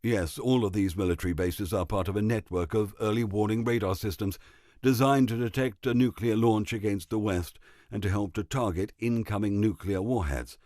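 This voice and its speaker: A man playing a grave military expert, giving measured, ominous analysis in a mock news drama. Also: lowish voice, a little mellow, dry recording, studio quality, close to an everyday voice. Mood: worried.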